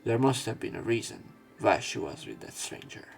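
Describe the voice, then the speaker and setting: A man reading a visual novel aloud as a let's player, in an expressive, dramatic narration style. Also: lowish voice, moderate pace, vocal fry, faint music behind. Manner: expressive, with emotional swells.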